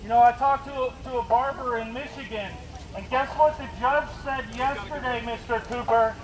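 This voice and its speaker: A man's voice: very high voice, steady tone, normal speed. A man giving an impassioned, confrontational protest speech through a bullhorn, addressing an official as sir. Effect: bullhorn amplification. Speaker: protester, activist. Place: outdoors on a street outside a government mansion. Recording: echoing bullhorn, noisy outdoor street recording.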